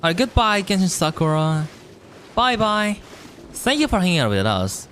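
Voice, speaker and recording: A man's voice: high-pitched, wildly swooping pitch, normal speed, fairly bright tone, slight background noise. A young man with a non-native English accent chatting casually while streaming a game, rambling and answering his chat.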